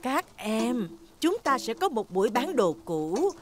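A woman's voice: fairly high pitch, sing-song, unhurried, background noise. A woman voicing a kindly schoolteacher addressing children with instructions in a dubbed cartoon. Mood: kindly, instructing.

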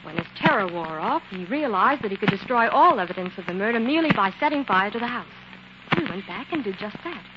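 A woman's voice: medium pitch, fast, heavy background noise, lightly acted. An eager female sleuth's assistant acted by a woman in a 1940s radio drama. Her voice sits mid-range and moves with lots of animation. The old recording sounds dull. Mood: insistent. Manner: pert, animated.

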